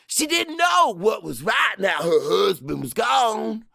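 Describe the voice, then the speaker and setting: Audiobook role: combative old Cajun storyteller, pitched high, swooping, folksy and fiery. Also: male voice, very animated, takes it slowly, vocal fry, dry recording, studio quality, exaggerated voice. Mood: insistent storytelling. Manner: loud, emphatic, folksy, mixes French into English.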